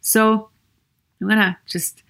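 Slow-spoken Austrian woman podcast host. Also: mid-range voice, little pitch movement, a bit bright, smooth. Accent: Viennese Austrian German. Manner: earnest, encouraging, many rhetorical questions and "you know" fillers. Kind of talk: solo podcast monologue.

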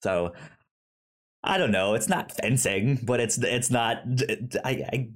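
A man with a mid-pitched voice talks casually about films as a podcast co-host. His delivery is slow and marked by a pronounced stutter, with repeated sounds and syllables. He rambles along enthusiastically. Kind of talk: casual film discussion.

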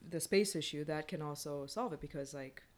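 A woman's voice: low-pitched, brisk. A woman chatting casually as a playwright guest on a panel, explaining things informally in short phrases.

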